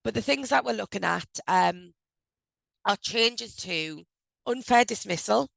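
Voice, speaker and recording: Woman, middle-pitched, gravelly and croaky from a cold, casual HR presenter on a dry video-call mic. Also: lively intonation, measured pace, a bit bright, dry recording. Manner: loose and conversational, with frequent ums and self-corrections. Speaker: HR advisor hosting an online briefing. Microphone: video call microphone.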